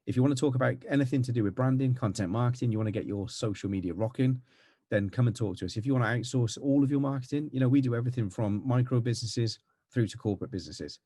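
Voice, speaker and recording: A middle-aged man with a West Country accent giving a fast, energetic, chatty marketing tutorial over a video call. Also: mid-range voice, slightly rough, dry recording, clean studio sound. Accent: West Country English. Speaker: marketing agency owner. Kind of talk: casual tutorial talk to camera. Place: home with green screen background.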